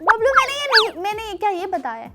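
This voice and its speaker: A woman's voice: very high voice, very animated, fast talker, over loud music. A young woman with a Pakistani accent, presenting and telling stories expressively as host of a children's show. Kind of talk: animated storytelling and presenting for children.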